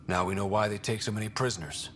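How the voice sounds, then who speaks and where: A man's voice: fast, natural voice. A man playing an earnest young space explorer and leader in a video game. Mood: calm. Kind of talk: video game dialogue acting. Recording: faint background rumble.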